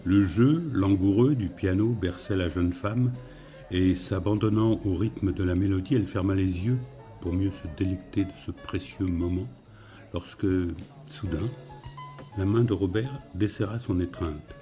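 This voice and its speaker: A man reading literary fiction as a narrator, in a measured storytelling manner. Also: low-pitched, dark tone, faint music behind.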